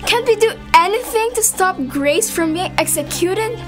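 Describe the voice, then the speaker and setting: A woman's voice: very high-pitched, expressive, normal speed, over music. A woman playing a frightened, newly jailed young woman, anxious and pleading, in an amateur radio drama.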